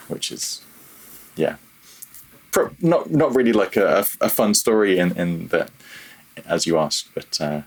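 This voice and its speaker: Low-voiced guy chatting on a podcast, bit husky, kinda hesitant and self-deprecating. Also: rough recording. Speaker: podcast guest, freelance audio developer. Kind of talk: casual reflective podcast conversation.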